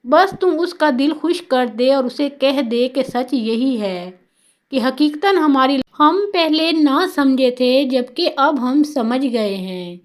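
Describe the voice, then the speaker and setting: A woman voicing a shrewd, patient male master of a household in a told story, measured and persuasive. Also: high voice, expressive. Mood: calculating.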